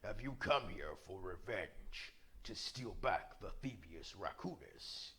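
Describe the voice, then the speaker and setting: A man's voice: deep voice, big pitch swings, slow pace, a bit bright, breathy edge, full character voice. A man voicing a proud, solemn panda martial-arts villain from a video game, speaking formally and threateningly. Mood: stern threat.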